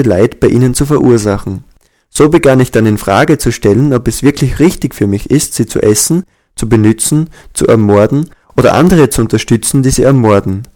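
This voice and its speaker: Calm, measured male audiobook reader. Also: medium pitch. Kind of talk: scripted audiobook reading.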